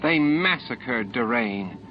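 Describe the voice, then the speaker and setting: A man's voice: medium pitch, expressive, takes it slowly, soft background music. A man voicing a blunt, fiery female first officer in a science fiction audiobook, pointed and demanding. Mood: angry, blunt. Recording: dull lo-fi tape with clear background noise.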